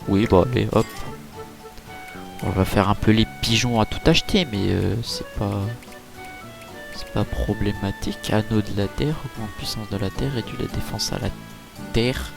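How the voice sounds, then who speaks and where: A man giving casual, chatty let's-play commentary over a game, full of fillers and quick reactions. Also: on the low side, fairly even intonation, creaky. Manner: chatty, filler-heavy, easily impressed. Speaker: gaming YouTuber. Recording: game music playing behind.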